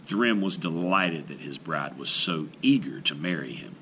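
Slow, animated male storyteller. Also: extremely low pitch, slight background noise. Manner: expressive, theatrical, deliberate. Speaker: read-aloud storyteller. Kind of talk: dramatic children's storybook narration.

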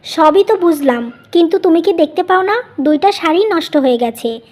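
A woman's voice: very high-pitched, expressive, moderate pace, a little mellow. A woman voicing a resentful, complaining young married woman in a cartoon story. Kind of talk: Bengali cartoon story voice acting.

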